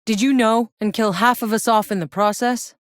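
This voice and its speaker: A woman's voice: on the high side, fairly even intonation, moderate pace, no room sound, close to an everyday voice. An actress playing a tough, sardonic, battle-hardened soldier in a sci-fi video game, blunt and wry in her delivery. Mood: probing, angry. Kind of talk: scripted video game character voice lines.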